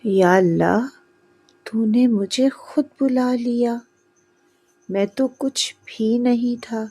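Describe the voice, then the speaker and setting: Husky, humble pious man voiced by a woman. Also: on the high side, warm tone, pitched above the performer's own voice, poor audio. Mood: humbly grateful. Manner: pleading, reverent. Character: humble, pious poor man praying in gratitude.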